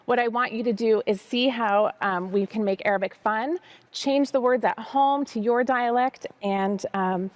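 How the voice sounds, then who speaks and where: A woman hosting a children's storytime lesson. She talks in an upbeat, slow way to young listeners and mixes Arabic words into her English. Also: medium pitch, no echo. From United States. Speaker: storytime host teaching Arabic.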